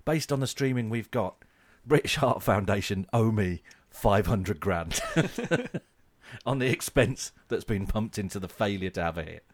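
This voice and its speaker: A man's voice: lowish voice, animated, a little slow. A man co-hosting a podcast in casual comic chat, with a joking, self-mocking manner. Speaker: podcast co-host.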